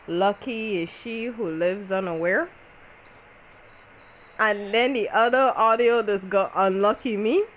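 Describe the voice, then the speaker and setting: Female gaming creator, medium pitch, informal conversational musing during play, slight background noise. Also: rough recording. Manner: informal, thinking aloud. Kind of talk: casual chat while playing a game.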